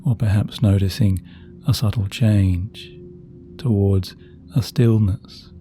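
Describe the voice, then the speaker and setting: Very mellow, soothing low-voiced man. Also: fairly even intonation. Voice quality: soft, very dark and mellow. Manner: slow, soothing, gentle, measured phrasing. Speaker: guided meditation narrator. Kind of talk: sleep hypnosis meditation, scripted narration. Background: relaxing background music.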